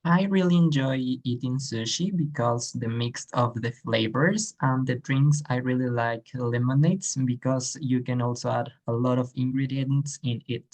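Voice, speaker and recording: A man's voice: medium pitch, a little mellow. A man from Ecuador, answering practice exam questions hesitantly with many fillers. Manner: hesitant, many ums and uhs, slowing to search for words. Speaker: exam candidate.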